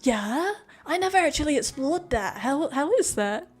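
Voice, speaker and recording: A woman streaming a game, chatting casually with a friend in an excitable, jokey, sweary way. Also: high-pitched, moderate pace. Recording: music behind the voice. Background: music.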